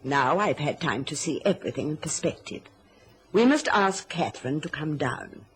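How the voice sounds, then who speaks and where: A woman's voice: low voice, animated, normal speed, slight background noise. Scheming, domineering mother, swooping voice. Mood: calculating, falsely pitying. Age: middle-aged. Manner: gushing endearments, imperious orders. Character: domineering, scheming, covetous mother with a silky-sweet false warmth. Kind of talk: radio drama acting.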